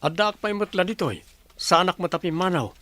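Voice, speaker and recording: A man's voice: high-pitched, lively intonation, fairly quick, fairly bright tone, no room sound. A man playing an evasive, casual-talking fiancé and employer in a radio drama. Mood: defensive. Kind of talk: Philippine radio drama acting.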